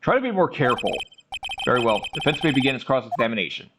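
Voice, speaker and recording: A man voicing a stern, deliberate courtroom judge in a game, formal and admonishing. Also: medium pitch, sing-song, background noise, close to an everyday voice. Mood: stern, measured. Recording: music behind the voice.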